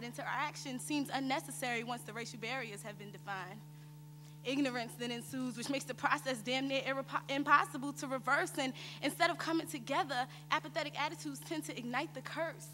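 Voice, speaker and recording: A young woman recites spoken-word poetry in a high, forceful voice. Her delivery is rhythmic and declamatory, and it rings through a reverberant theater hall. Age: young adult. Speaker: student performer. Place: on stage in a theater. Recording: echoey theater hall with background noise.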